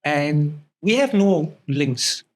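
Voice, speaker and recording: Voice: mid-range voice, animated, extremely slow. Middle-aged host in casual interview. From Singapore. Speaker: host of a food talk show.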